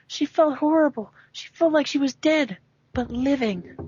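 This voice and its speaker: A woman's voice: high voice, a little slow, a little mellow, no echo. A woman doing an amateur read-aloud of a story, stumbling over words and sounding amused. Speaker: amateur fanfiction reader. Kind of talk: amateur dramatic reading with casual asides. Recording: dry, muffled home recording.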